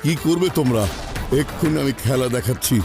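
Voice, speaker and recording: An actor playing a villainous, boastful mad scientist in a cartoon, with a theatrical, gloating delivery. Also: male voice, mid-range voice, fairly quick, lightly acted. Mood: gloating menace. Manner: theatrical, gloating, muttering to himself. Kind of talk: exaggerated Bengali cartoon dub acting. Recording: loud music under the voice.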